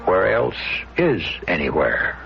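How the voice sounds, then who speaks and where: A man hosting and narrating a radio drama theatrically, with measured, dramatic pauses. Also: deep voice, very animated, vocal fry. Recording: dull old radio, loud music.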